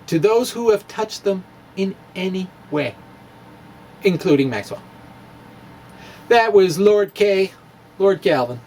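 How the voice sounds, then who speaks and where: A man's voice: fairly high pitch, husky. A man giving a dramatic reading of his own physics blog in a wry, playful way and laughing at his own jokes. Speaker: physics blogger.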